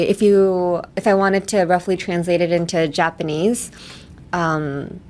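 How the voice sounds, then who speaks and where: A woman's voice: medium pitch, a little mellow, slight background noise. A woman hosting a casual language-lesson podcast, talking in a chatty, rambling way with tangents. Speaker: podcast host teaching phrases.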